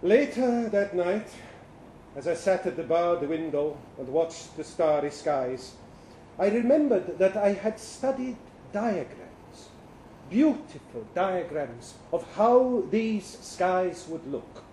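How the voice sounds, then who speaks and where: A man's voice: sits high, very animated, slow pace, mellow, exaggerated voice. A man reading a short story aloud as an earnest, bewildered professor from the future, speaking formally and hesitantly with precise diction. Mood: wistful. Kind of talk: dramatic first-person short story reading. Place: indoor live literary reading event. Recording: roomy live venue, faint background noise.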